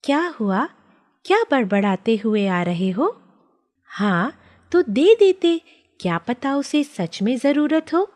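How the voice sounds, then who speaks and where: A woman's voice: fairly high pitch, very animated, slow, darkish tone. A woman voicing a kind, worried wife with a conscience in a cartoon story, earnest and admonishing. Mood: reproachful. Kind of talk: children's cartoon story dialogue.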